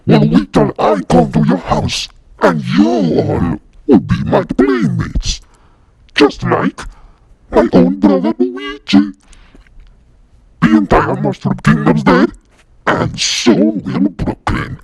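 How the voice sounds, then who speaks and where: A man's voice: extremely high pitch, wildly swooping pitch, slow, vocal fry, no room sound, exaggerated voice. A man voicing a gleefully murderous demonic video game plumber villain, taunting and menacing in an amateur horror audio drama. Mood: gleeful menace. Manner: taunting, menacing, wildly swooping delivery.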